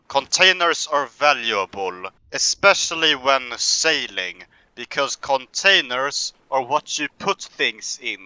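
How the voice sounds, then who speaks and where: Slow, sharp-voiced pompous male game commentator. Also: medium pitch, dry recording, rough recording. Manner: pompous, rambling rants in a put-on accent; mock-serious, self-important narration. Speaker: gameplay commentator. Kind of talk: comedic survival game commentary.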